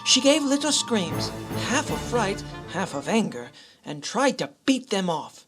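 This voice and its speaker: A woman's voice: mid-range voice, sing-song, a little slow, over music. A woman narrating a storybook radio play in a dramatic style.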